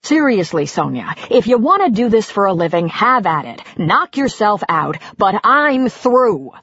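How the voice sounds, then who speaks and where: A woman's voice: mid-range voice, sing-song, a little slow, fairly bright tone, slightly rough, no echo, highs cut off, heavily acted. A woman voicing an exasperated middle-aged husband who is walking out, bitter and swearing in heated outbursts. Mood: fed up.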